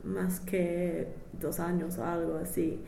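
A woman's voice: on the low side, flat delivery, takes it slowly, creaky, warm tone. Hesitant young Englishwoman in a roomy space. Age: young adult. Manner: hesitant non-native speech, searching for words. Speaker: interviewee, language student. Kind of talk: informal interview answers. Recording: roomy echo with faint background noise.

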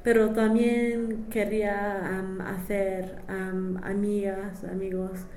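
A young woman with as her gives informal interview answers in a slow, hesitant way, feeling for the right words. Her pitch moves little and the room adds noticeable echo over faint noise. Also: level tone, mellow. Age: young adult. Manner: hesitant non-native speech, searching for words. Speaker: interviewee, language student.

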